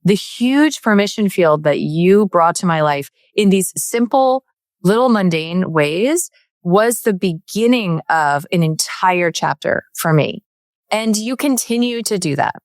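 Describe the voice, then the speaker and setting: Low-voiced woman host, effusive and rambling. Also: dry recording, clean studio sound. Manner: effusive, rambling, many "like" and "right". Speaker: podcast host. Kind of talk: interview introduction.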